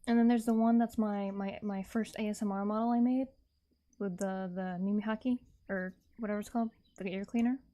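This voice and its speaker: A woman's voice: mid-range voice, steady tone, brisk, dark tone. A woman chatting casually to her livestream viewers in a rambling, repetitive way. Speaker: streamer, artist and ASMR creator.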